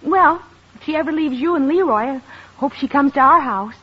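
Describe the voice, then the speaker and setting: A woman's voice: high-pitched, normal speed, lightly acted. An actress playing a sweet, considerate young American mother in an old-time radio comedy. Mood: sweet, apologetic. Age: young adult. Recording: muffled old radio recording.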